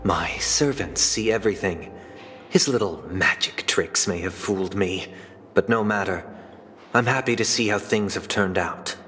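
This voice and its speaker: Game casting: icy, vengeful nobleman, deep register, polished condescension, quiet threat. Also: moderate pace, a little hiss or hum. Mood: cold, threatening. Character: cold, controlling aristocratic male schemer, vengeful and composed. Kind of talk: scripted video game cutscene acting.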